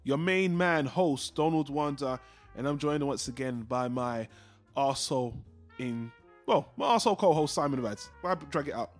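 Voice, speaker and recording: A man's voice: medium pitch, animated, normal speed, faint music behind. A man hosting a casual film discussion podcast, talking in a blokey, informal, bantering way. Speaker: podcast host.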